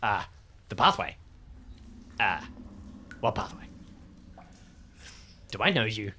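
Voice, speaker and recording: A man's voice: fairly low pitch, sing-song, slow, sharp tone, slight background noise, heavily acted. A man playing a dazed, nervous and deferential lost man, hesitant and repetitive, in an improvised tabletop game. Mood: dazed, confused.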